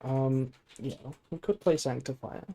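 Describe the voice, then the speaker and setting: Male streamer, mid pitch, dark soft tone, drowsy and low-key, casual commentary. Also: unhurried, creaky. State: sleepy. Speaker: game streamer. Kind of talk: casual gameplay stream chat and deck analysis.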